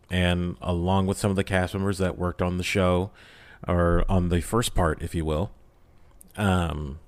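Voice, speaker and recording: A man speaking as a voice actor guest on a podcast, casual and conversational, earnest and emphatic in how he makes his points. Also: low-pitched. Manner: emphatic, earnest, acts out imagined quotes. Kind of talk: casual interview chat.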